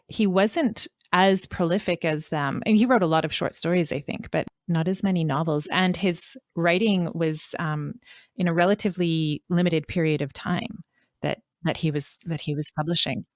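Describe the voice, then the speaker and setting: Low-voiced woman hosting a podcast. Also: no echo, clean studio sound. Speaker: podcast host. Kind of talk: conversational interviewing.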